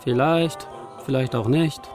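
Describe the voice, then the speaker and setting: With music clearly behind him, a brash young activist man played by an actor talks in slang in a radio crime drama. His middle-pitched voice is confrontational and hostile. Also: measured pace, a little mellow, lightly acted. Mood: dismissive. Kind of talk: German radio play dialogue.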